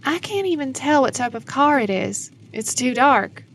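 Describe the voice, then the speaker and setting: A woman's voice: high-pitched, lively intonation, normal speed, a bit bright, slight background noise. A woman playing an older wife and grieving mother, frank and consoling, in an audio drama. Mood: frightened, urgent.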